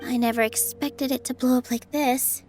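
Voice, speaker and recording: A woman voicing a gentle, shy young dancer who sounds soft-spoken and unsure of herself, in a video game with music behind her. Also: high-pitched, fairly even intonation, moderate pace, lightly acted. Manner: soft-spoken and self-doubting.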